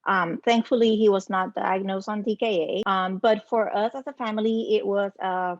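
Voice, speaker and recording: A woman's voice: mid-range voice, steady tone, no room sound, lo-fi sound. Earnest woman with a Puerto Rican accent. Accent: Puerto Rican Spanish. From Puerto Rico. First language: Spanish. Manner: earnest, measured, frequent ums. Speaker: guest, nonprofit program manager. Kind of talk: interview storytelling.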